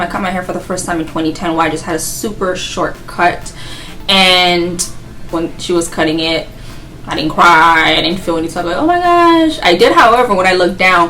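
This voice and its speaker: A woman's voice: fairly low pitch, fairly bright tone. A woman in her twenties from Haiti, chatting casually and rambling about herself, laughing often. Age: 20s. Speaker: vlogger. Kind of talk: casual get-to-know-me chat.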